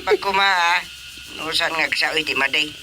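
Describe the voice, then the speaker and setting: Bright, forceful older Filipino father. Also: on the high side, moderate pace, a little hiss or hum. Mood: aggrieved, plaintive. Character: aggrieved older father, village chairman. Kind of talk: Filipino radio drama comedy acting.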